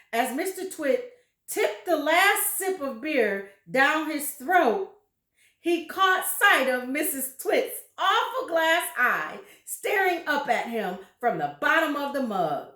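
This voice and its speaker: Animated, slow-paced woman reading a story. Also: on the high side, echoey room. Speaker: read-aloud storyteller. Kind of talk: expressive children's storybook narration with asides.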